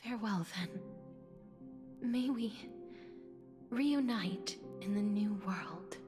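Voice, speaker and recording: A woman plays a tender, solemn young woman in a video game. Her soft, breathy voice lingers very slowly over each phrase. Loud music swells behind her. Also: mid-range voice, natural voice. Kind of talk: scripted game voice acting.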